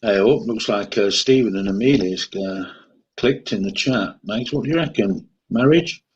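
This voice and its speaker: A man's voice: lowish voice, normal speed, slightly rough, highs cut off. A man co-hosting a live chat stream, teasing and joking while reading out comments. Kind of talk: casual live-stream banter.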